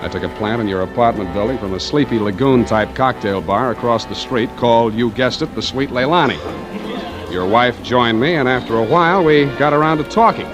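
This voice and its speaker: A man's voice: medium pitch, fairly quick, music in the background, lightly acted. A man with an American accent playing a wry, hard-boiled private detective in clipped 1940s radio noir style. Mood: wry narration. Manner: sardonic, clipped wisecracking.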